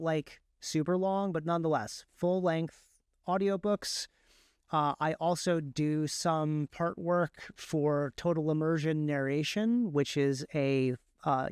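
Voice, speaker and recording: A man answering interview questions conversationally as a guest, explaining things in a halting, self-correcting way. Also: a little high, smooth, no echo, clean studio sound. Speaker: podcast guest, author and audiobook narrator.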